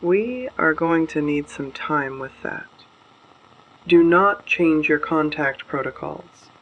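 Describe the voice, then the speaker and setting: Resistance radio host, acted: very slow, measured, guarded and commanding, terse orders, dull lo-fi broadcast sound, dystopian drama. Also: medium pitch, lively intonation. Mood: solemn, commanding. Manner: measured, solemn, terse instructions.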